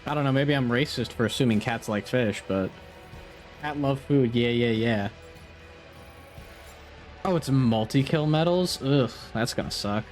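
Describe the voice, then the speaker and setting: A man's voice: mid-range voice, unhurried, pauses often, darkish tone, faint music behind. A man live-streaming a game, chatting casually, swearing now and then, reacting to play and reading chat aloud, a bit sniffly.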